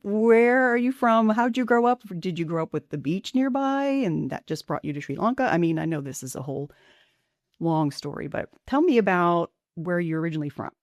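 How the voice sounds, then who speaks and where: A woman hosting a podcast, introducing her guest in a gentle, reflective way and then chatting with her. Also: on the low side, animated, brisk, no echo, studio quality. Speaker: podcast host.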